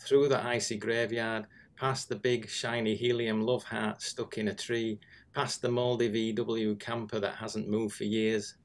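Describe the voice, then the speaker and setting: A British man reading observational prose aloud in a deadpan manner. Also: on the low side, unhurried. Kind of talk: literary reading, observational narration.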